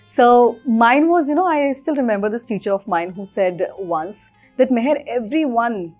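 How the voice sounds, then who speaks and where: A woman's voice: on the high side, animated, normal speed, a little mellow, faint music behind. A woman hosting a conversational interview, emphatic and repeating her key phrases. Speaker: talk show host.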